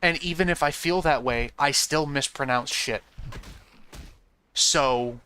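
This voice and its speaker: Rambling, crisp-voiced male streamer. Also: fairly high pitch, slow, sharp tone, no echo. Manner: rambling, repeats "you know what I mean"; frank and profane. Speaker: streamer talking to chat. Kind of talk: casual chat with viewers while playing.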